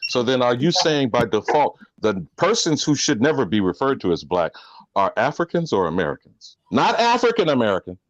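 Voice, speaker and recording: A man's voice: mid-range voice, very animated, a little fast, no room sound, lo-fi sound. A man in a casual online group discussion who cuts in and presses pointed questions.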